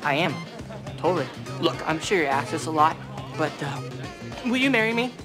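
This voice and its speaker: A man's voice: fairly high pitch, lively intonation, moderate pace, music in the background, lightly acted. A young man playing an awkward, goofy teenage boy who stammers and fumbles when nervous. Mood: starstruck. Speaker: teen sitcom character. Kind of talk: sitcom acting.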